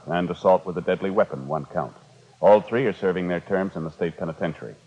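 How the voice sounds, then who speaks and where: Deep, formal American radio announcer man. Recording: dull vintage radio with loud music. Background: music.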